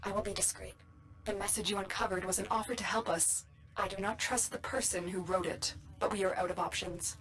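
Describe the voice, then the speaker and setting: An actress playing a grave, anxious guide who commands warrior operatives in a video game, speaking in a solemn, measured way. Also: female voice, medium pitch, fairly even intonation, fairly bright tone, close to an everyday voice, poor audio. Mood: guarded. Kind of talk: scripted video game voice acting.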